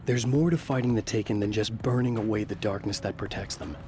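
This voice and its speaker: An actor playing a haunted, weary male writer who narrates a horror game in a low, measured, brooding voice. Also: music in the background, lightly acted. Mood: reflective.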